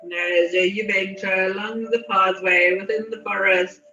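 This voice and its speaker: A woman leading a guided meditation in a soothing, unhurried manner with long pauses. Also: mid-range voice, level tone, sharp tone. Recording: muffled, echoey, distant, music behind. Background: meditation music.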